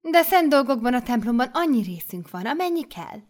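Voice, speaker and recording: A woman putting on a gushing high-voiced mother superior. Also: big pitch swings. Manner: gushing, effusive, commanding. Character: doting excitable older female mother superior.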